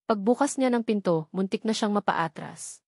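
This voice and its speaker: Filipino lady narrator reading a dramatic story, mid pitch, really dry close sound. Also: moderate pace, darkish tone, dry recording.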